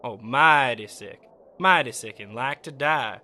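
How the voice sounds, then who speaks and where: An actor playing a swaggering young cowboy sweetheart in a Western radio drama, cocky and folksy. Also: male voice, medium pitch, unhurried, pushed and bright.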